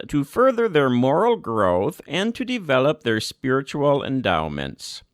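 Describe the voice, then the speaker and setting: A man giving a scripted reading of a religious document, phrase by measured phrase, with deliberate emphasis. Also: medium pitch, fairly bright tone, dry recording, clean studio sound. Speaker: reader.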